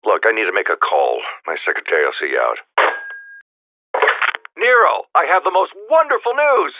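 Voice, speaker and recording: An actor playing a theatrical, formal lawyer in a video game, heard through an old telephone filter. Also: male voice, medium pitch, very animated, normal speed, very noisy. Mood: guarded, curt. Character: excitable, theatrical lawyer looking after his client. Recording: narrow, tinny telephone line.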